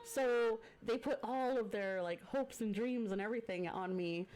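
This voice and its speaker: A woman's voice: fairly high pitch, moderate pace, darkish tone, low-quality recording. Chatty woman in her thirties streaming. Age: 30s. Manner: chatty, with frequent fillers and laughter. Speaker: streamer host. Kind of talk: casual chat. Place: home streaming setup.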